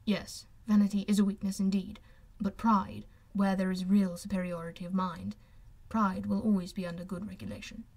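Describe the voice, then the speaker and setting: Woman as a proud, reserved gentleman. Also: mid-range voice, flat delivery, creaky. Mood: grave. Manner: measured, formal, restrained. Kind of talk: audiobook character voice.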